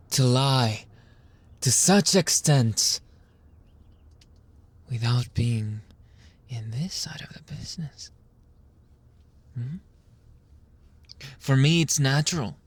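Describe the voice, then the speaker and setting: Man acting out a husky, whispering, seductive mafia boss. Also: medium pitch, heavily acted. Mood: menacing, probing, then cold, accusing. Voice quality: breathy, husky, often dropping into whisper.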